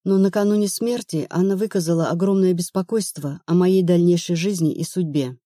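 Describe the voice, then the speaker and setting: A woman's voice: fairly low pitch, a little fast, mellow, no echo, studio quality, lightly acted. A woman narrator playing an anxious, stubborn woman of thirty-one in an audiobook, plaintive and earnest. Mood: uneasy confiding.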